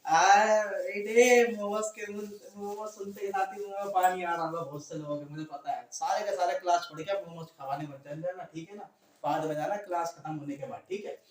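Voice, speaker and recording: A man's voice: high-pitched, steady tone, moderate pace. A man with a sore throat teaching a grammar class, repeating each question to his students and answering it himself. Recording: very echoey room, distant mic.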